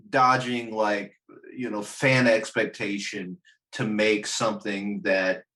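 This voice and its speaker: A man in a casual chat, rambling and swearing freely, often laughing as he talks. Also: medium pitch. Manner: animated, rambling, profane, laughs while talking. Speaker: podcast panelist discussing films and games.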